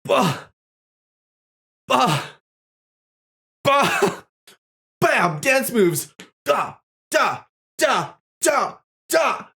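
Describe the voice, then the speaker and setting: A playful streamer talks casually to an online audience. The pitch jumps around with great liveliness, and phrases come out as exclamations, often repeated for effect. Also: sing-song, very slow, gravelly, pauses often, bright, forceful tone, breathy edge. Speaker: livestream host. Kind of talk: casual livestream chat to viewers.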